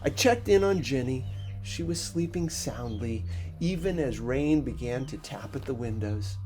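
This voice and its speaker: A man reading a first-person story expressively, with a measured, dramatic delivery. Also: mid-range voice, a little mellow. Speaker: audiobook narrator.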